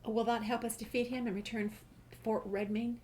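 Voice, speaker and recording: A woman's voice: fairly high pitch, little pitch movement, moderate pace, a little mellow, slight background noise. A woman playing through a video game, reading dialogue aloud and adding casual asides. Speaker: gameplay streamer.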